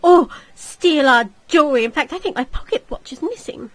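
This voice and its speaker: A woman's voice: high-pitched, animated, brisk, a bit bright, no echo, voice pushed up. A woman voicing an indignant, snobbish society lady in a visual novel, complaining melodramatically. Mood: indignant, whining. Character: indignant haughty society lady, affronted and wailing.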